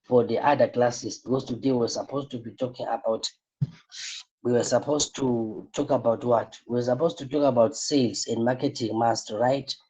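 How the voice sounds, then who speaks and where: Tired husky man teaching a live class. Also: mid-range voice, fairly even intonation, moderate pace, warm tone, rough recording. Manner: repeats points, asks rhetorical "what?" questions. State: tired, voice strained. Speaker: online business coach.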